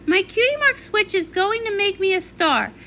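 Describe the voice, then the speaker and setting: A woman's voice: very high-pitched, lively intonation, moderate pace, sharp tone, slight background noise, voice pushed up, full character voice. A woman voicing a vain, scheming cartoon nymph with a grandiose, theatrical manner in a comic read-along. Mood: upset, defiant. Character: vain scheming pony-world nymph, grandiose and easily upset.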